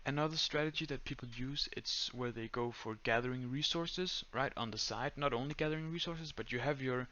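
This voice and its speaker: A young man with a Danish accent giving a step-by-step screen-recorded tutorial in a flat, monotone voice full of "uh"s and "um"s. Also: mid-range voice, a little fast, few pauses, highs cut off. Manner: monotone, many fillers. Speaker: tutorial creator.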